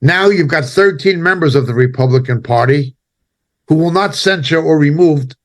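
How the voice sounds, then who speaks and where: A man giving impassioned spoken commentary as a preacher, indignant and emphatic, speaking in short halting phrases. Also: fairly high pitch.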